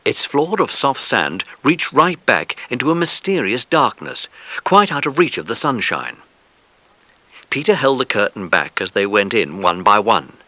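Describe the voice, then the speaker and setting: A man narrates a children's story with expressive, scripted delivery. His mid-pitched voice is bright and animated, recorded very dry. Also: measured pace, no room sound. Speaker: audiobook narrator.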